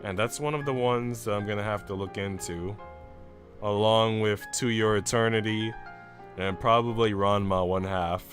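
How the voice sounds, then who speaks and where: A man with a smooth, clear voice, livestreaming as he reads a visual novel aloud and chats casually. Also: lowish voice, moderate pace, soft background music. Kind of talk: livestream narration and casual chat.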